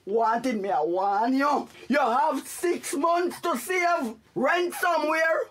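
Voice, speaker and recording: A man's voice: very high voice, slow pace, a bit bright, slight background noise, exaggerated voice. An actor playing a blustering, exasperated middle-aged Jamaican father in a radio drama, stammering and repeating himself in Patois. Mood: stern. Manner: stammering, repeating words when flustered.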